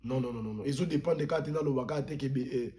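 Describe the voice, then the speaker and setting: A man's voice: medium pitch, quick pace, mellow, a little hiss or hum. A Congolese man, a pastor, giving impassioned political commentary as an interview guest, emphatic and indignant, repeating words for stress and switching languages mid-sentence. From Democratic Republic of the Congo.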